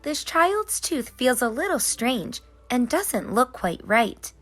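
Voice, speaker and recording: A woman reading as a busy, whimsical, gently scolding tooth fairy in a children's storybook, in rhyme. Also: a little high, big pitch swings, slow pace, soft background music, exaggerated voice. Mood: puzzled. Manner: sing-song rhyming delivery. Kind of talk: children's storybook narration in character.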